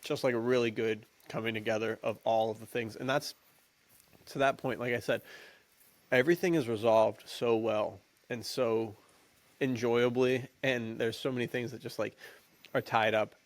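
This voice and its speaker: A man's voice: medium pitch, steady tone, normal speed, dry recording. A man co-hosting a podcast, chatting casually and informally about films in an easygoing, appreciative way. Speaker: podcast co-host. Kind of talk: casual film discussion chat.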